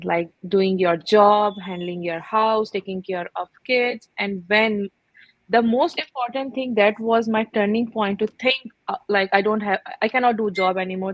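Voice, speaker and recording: A woman, speaking earnestly and haltingly as a guest on a video call, full of fillers. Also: medium pitch, no echo, dull audio. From Pakistan. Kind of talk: casual video call interview.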